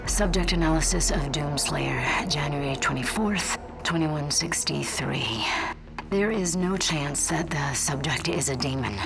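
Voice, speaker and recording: A woman playing an earnest, troubled scientist who records a research log for a video game in measured, deliberate phrases. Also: low-pitched, creaky, low-quality recording. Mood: measured, clinical. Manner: measured, deliberate, halting with doubt. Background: music.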